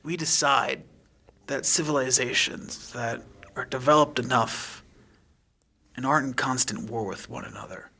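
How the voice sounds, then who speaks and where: A man playing a calm, pragmatic leader of a ship in a tabletop game, measured and matter-of-fact. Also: medium pitch. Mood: firm, justifying.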